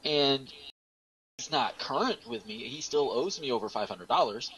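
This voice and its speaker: A man's voice: medium pitch, very animated, normal speed, gravelly, a bit bright, poor audio. A man talking casually as a panelist on an online radio show. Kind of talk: casual group chat. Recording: faint room noise behind voice.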